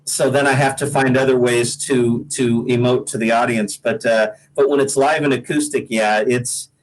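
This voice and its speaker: A man's voice: mid-range voice, steady tone, moderate pace, a little hiss or hum, poor audio. An American man, a singer-songwriter guest, telling casual, rambling, self-deprecating stories in an interview. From Pacific Northwest, United States.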